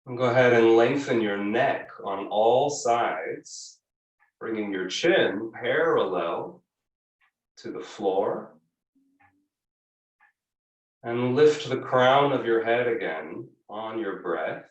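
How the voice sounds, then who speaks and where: A man teaching a guided yoga class, giving gentle, measured instructions with frequent pauses. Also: medium pitch, expressive, muffled recording. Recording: echoey room, distant microphone.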